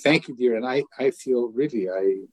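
An older male podcast host chatting with a guest, full of fillers, stumbles and gushing praise. His voice sits at a middle pitch and sounds dry and close, with no room around it. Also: no echo, poor audio. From lived in Israel fifty years. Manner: warm, effusive, halting with many restarts and fillers. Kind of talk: casual interview chat.

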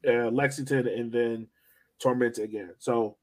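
A man with a mid-pitched voice hosts a sports podcast. He talks in a casual, slangy, conversational way, working through his thoughts as he goes. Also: fairly even intonation, normal speed. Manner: conversational, slangy, thinking aloud. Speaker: soccer podcast host.

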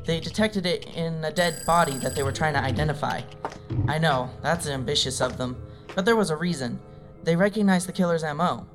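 A woman's voice: deep voice, brisk, close to an everyday voice. A woman playing a federal technical analyst in an audio drama, with a wry, rambling, informal way of talking. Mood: informative, wry. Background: music bed.

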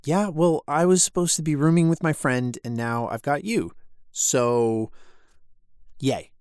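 A man's voice: fairly high pitch, unhurried, darkish tone, smooth, no room sound, studio quality, close to an everyday voice. A man playing an uptight, bossy college roommate. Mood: sardonic, weary. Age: young adult. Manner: bossy, curt, sardonic. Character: uptight, exasperated, studious male college roommate. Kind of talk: naturalistic audio drama acting.

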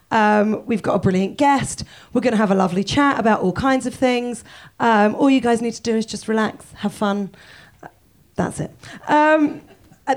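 A woman hosting a live podcast on a theatre stage, joking and swearing with the audience. She is croaky from a cold. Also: a little high. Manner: self-deprecating, jokey, sweary. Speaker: podcast host.

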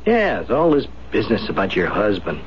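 Muffled noisy radio drama, weary henpecked man, stammering, hesitant, lively pitch swings. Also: moderate pace. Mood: weary, sour. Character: weary, weak-willed man, henpecked lover of a scheming woman. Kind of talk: 1970s radio drama acting.

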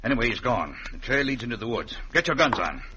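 An actor playing a cold, cultured outlaw boss in an old-time radio western, pompous, precise and menacingly genteel. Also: male voice, mid-range voice, very animated, fast talker, close to an everyday voice. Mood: cold, commanding. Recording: faintly noisy old radio recording.

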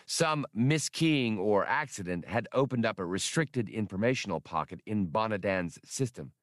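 A man's voice: on the low side, expressive, moderate pace, dry recording, studio quality. A man reading a science fiction novel as scripted narration. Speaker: audiobook narrator.

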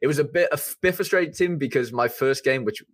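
A man's voice: mid-range voice, brisk, no echo. Young adult Welsh man, Welsh accent, eager rambling guest talk, dead dry recording. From Wales. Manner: rambling and eager, full of fillers and restarts. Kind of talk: casual podcast chat.

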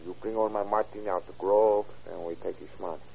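A man playing a frightened young Mexican murder suspect in an old radio crime drama, speaking halting English with a Mexican Spanish accent. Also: low voice, steady tone, vocal fry, mellow, a little hiss or hum. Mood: resigned, confessing. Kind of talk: 1930s radio acting. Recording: muffled old radio recording.

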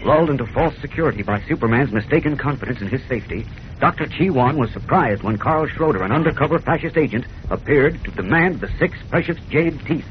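A man's voice: mid-range voice, expressive, quick pace. Dramatic male serial narrator, muffled old recording. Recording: muffled, faintly noisy 1940s radio recording.

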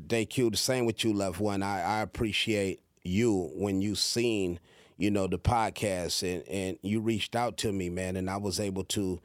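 A man's voice: fairly low pitch, no room sound, studio quality. A man hosting a casual podcast interview, encouraging his guests and often repeating and restarting his words. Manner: warm-hearted, repeats words and restarts a lot. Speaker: podcast host.

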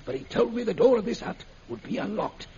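A man's voice: high-pitched, animated, a little fast, mellow. An actor playing an imprisoned middle-aged father, anxious and emphatic, in the style of a 1940s radio serial. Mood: eager, secretive. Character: imprisoned British man, anxious father, eager conspirator. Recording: muffled, noisy old radio recording.

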